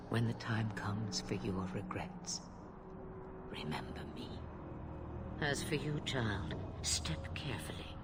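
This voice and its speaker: An actress playing an ancient dragon witch in an old woman's form, with a breathy, cryptic, theatrical delivery in a video game. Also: rumbling low, full character voice. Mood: solemn warning. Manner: slow, theatrical, grandly cryptic. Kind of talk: video game cutscene acting. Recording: voice under loud background music.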